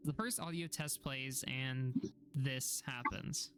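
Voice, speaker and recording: A man's voice: mid-range voice, steady tone, slow, noisy background. A man giving a scripted narration that recaps horror videos.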